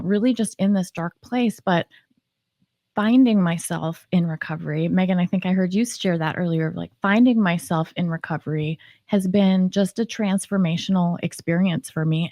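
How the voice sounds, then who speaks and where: A woman author, a guest on a talk show, speaking in a calm, peaceful, measured way about her life. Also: fairly low pitch, no room sound, studio quality. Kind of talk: reflective personal sharing.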